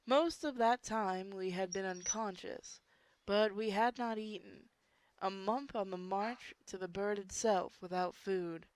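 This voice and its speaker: A woman's voice: mid-range voice, unhurried, a little mellow, low-quality recording. A woman reading a horror story aloud as an amateur, informal, stumbling over words and breaking off into casual asides. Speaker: story reader.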